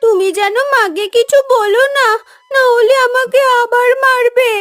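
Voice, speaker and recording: A woman's voice: extremely high pitch, steady tone, measured pace, a bit bright, faint music behind. A woman voicing a mistreated little village girl in a melodramatic cartoon, plaintive and childlike. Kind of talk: melodramatic cartoon story acting.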